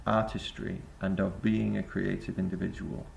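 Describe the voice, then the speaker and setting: A man's voice: deep voice, flat delivery, normal speed, gravelly, a little hiss or hum, low-quality recording. A man giving a reflective spoken essay to camera as a vlogger, rambling and hesitant with many filler sounds. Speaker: vlogger and busking singer.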